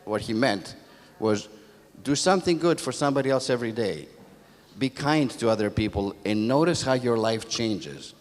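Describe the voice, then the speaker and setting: A man's voice: mid-range voice, animated, moderate pace. An older man from Greece who moved to America, giving a motivational talk in conversational, storytelling phrases. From born in Athens, Greece, moved to America as a child. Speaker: conference speaker, positive psychologist. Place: theatre stage. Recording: echoey hall, long reverb.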